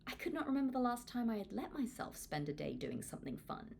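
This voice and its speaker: Expressive woman reading aloud, lively pitch. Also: quick pace, creaky, a little hiss or hum. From Hong Kong. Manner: expressive, informal, self-deprecating. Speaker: author reading their own book aloud. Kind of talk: audiobook read-along with casual asides.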